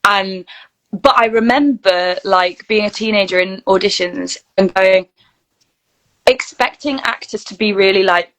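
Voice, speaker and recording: Female interview guest, mid-pitched voice, informal and anecdotal, sprinkles "like" throughout. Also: measured pace, fairly bright tone, rough recording. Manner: chatty, anecdotal, peppered with "like". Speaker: guest, actor and voice reel producer. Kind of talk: casual interview chat.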